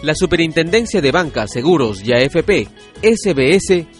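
A man's voice: fairly high pitch, very animated, normal speed. A man announcing a radio drama in a scripted broadcast style. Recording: music behind the voice. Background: music.

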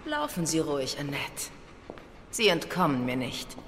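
A woman's voice: low-pitched, sing-song, measured pace, fairly bright tone, slight background noise, full character voice. A woman playing a cool, determined, sardonic female agent in an audio drama, commanding and wry. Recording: long reverb, music behind.

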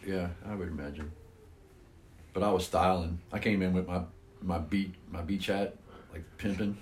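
A man's voice: low-pitched, fairly even intonation, normal speed, a little mellow, slight background noise. A man hosting a casual podcast chat, teasing his friends and laughing often. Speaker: podcast host.

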